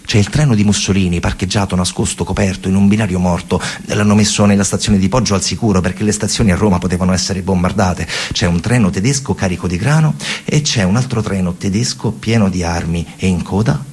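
A male stage storyteller delivers a dramatic monologue. His voice is gravelly and husky, fairly low, and he leans emphatically on repeated phrases. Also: fast talker, a little mellow. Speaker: narrative theatre actor.

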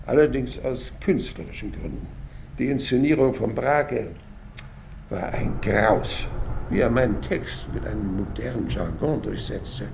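An actor playing a proud, aggrieved older playwright in a mock-documentary interview, disdainful and deliberate. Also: male voice, medium pitch, creaky, darkish tone. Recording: faint ambient noise.

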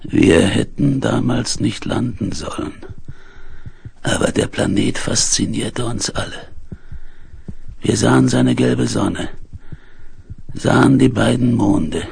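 An actor playing a dying, delirious space explorer in a radio play, halting and weak, with breath sinking into whispers. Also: male voice, on the low side, creaky, darkish tone, husky, full character voice. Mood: feverish, wistful. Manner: slow, halting, laboured delivery. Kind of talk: 1970s German radio play acting, dramatic monologue. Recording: hissy, humming 1970s vinyl recording.

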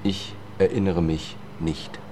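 An actor playing a weary, disgraced middle-aged lawyer who tells his story in a measured, understated way in a German radio drama. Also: low voice, fairly even intonation, dark tone, slight background noise. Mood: wry, confiding. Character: weary disgraced Washington lawyer, confiding and haunted. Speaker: first-person narrator and lead character. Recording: studio radio play with music.

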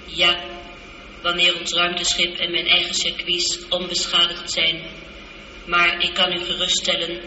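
An actress playing a female spaceship computer in a radio drama, formal, measured, literal and calmly reassuring. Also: fairly low pitch. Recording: dull, noisy, echoing old radio recording.

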